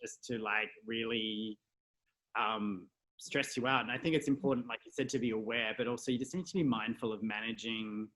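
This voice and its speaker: An Australian man in a casual interview, talking reflectively and thinking aloud with many pauses and fillers. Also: on the low side, steady tone, a bit bright, rough recording. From Australia (Melbourne). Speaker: guest, stylist and photographer.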